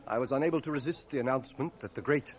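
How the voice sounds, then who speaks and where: An actor playing a proud, dry, scholarly middle-aged schoolmaster in a radio drama, speaking formally and precisely. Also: male voice, mid-range voice, racing, natural voice. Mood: earnest admiration. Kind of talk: 1980 radio drama acting. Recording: home cassette transfer of radio drama.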